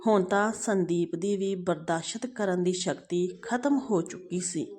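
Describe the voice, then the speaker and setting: A woman's voice: on the low side, unhurried, darkish tone. A woman narrating a moral family story as a storyteller.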